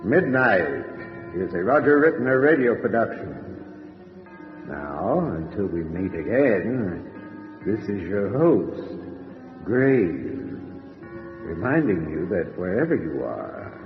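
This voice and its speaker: Drenched in echo and slightly muffled, a macabre old-time radio horror host. He speaks slowly and theatrically, with gleeful, sardonic relish and big swings of pitch. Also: very animated, soft background music, full character voice. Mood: ominous, formal. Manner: theatrical, sardonic, drawn-out delivery. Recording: muffled, heavily reverberant radio recording.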